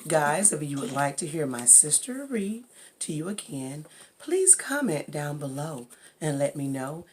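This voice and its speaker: Low, husky woman host with lively pitch. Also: a little slow. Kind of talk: casual sign-off to viewers.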